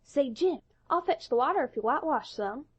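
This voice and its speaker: A man voicing a mischievous young boy who coaxes and wheedles in quick, persuasive bursts. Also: very high-pitched, lively intonation, pitched above the performer's own voice. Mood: coaxing.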